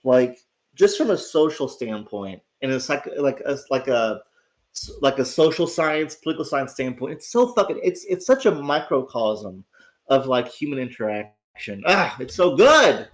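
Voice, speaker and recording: A man with a raspy, sick voice, chatting casually as host of his livestream, rambling and swearing with lots of restarts. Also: medium pitch, lively intonation, moderate pace. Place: at home.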